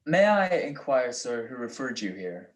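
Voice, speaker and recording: Man acting a creepy, halting little manservant. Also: mid-range voice, sing-song, very noisy. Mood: servile, guarded. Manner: halting, oddly formal. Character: creepy, servile little manservant, stiffly formal. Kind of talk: 1940s detective radio play acting.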